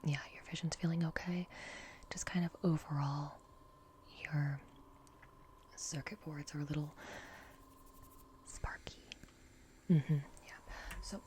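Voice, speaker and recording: A woman doing a gentle ASMR roleplay as a reassuring technician, speaking in an unhurried, breathy whisper. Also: sits low, fairly even intonation, slight background noise. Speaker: ASMR roleplay performer playing a repair technician.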